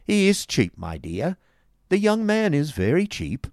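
A man's voice: on the high side, huge pitch swings, measured pace, darkish tone, no room sound, clean studio sound, pitched above the performer's own voice, full character voice. A man voicing a bullying, self-important schoolmaster husband in an emphatic, blustering storybook manner, drawing out his words. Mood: insistent.

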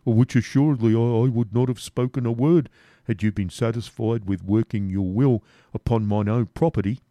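A man's voice: medium pitch, steady tone, moderate pace, warm tone, no echo, clean studio sound, close to an everyday voice. Man doing a surly stubborn servant. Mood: dogged. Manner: dogged, grumbling. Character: surly, honest male servant, stubborn and dogged.

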